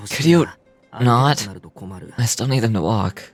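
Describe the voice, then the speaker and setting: A man's voice: medium pitch, expressive, measured pace. A man playing an earnest young grandson, a remorseful but determined hero, in a video game. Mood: sheepish. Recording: game music clearly behind the voice.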